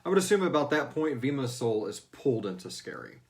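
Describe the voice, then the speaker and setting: A man's voice: medium pitch, sing-song, fairly quick. A man hosting a fan Q&A, chatting informally and jokily with salty language as he answers questions. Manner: informal, profane, jokey. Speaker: host of a fan Q&A show. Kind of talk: casual chat answering listener questions.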